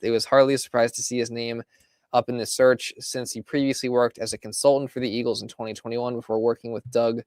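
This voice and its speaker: A man hosting a casual live stream about his football team, chatty and laughing, his voice going hoarse. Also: medium pitch, dry recording. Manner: chatty, laughs between lines, reads out viewer comments. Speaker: fan show host. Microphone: desk microphone.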